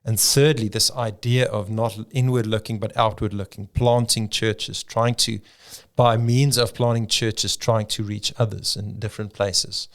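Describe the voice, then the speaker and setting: A man's voice: on the low side, level tone, measured pace, few pauses. A middle-aged South African man, a pastor whose first language is Afrikaans, telling his story in an interview with frequent ums and restarts. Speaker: pastor and church planter.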